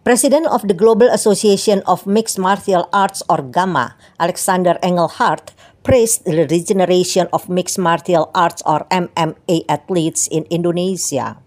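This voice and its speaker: A woman reading scripted radio news in a steady, even delivery. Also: lowish voice, normal speed. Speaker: news reader.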